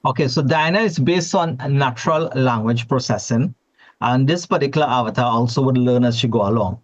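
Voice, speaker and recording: Trini guy presenting on a video call, mid-pitch voice, muffled lo-fi audio, chatty, lots of restarts. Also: fairly quick. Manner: chatty, repeats words when restarting. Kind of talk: conversational forum presentation.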